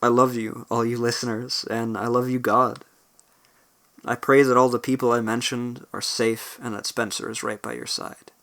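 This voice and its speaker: A young-sounding independent rapper, a man with a slightly gravelly strained voice, talking earnestly and rambling with restarts on a cheap home mic. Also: mid-range voice, flat delivery, normal speed, a bit bright. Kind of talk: spoken outro over a beat. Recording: spoken over clear background music. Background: guitar music bed.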